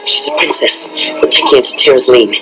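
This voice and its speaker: An actor playing a small, earnest, plucky hero in fantasy film dialogue. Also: male voice, mid-range voice, wildly swooping pitch, normal speed. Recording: film audio with music.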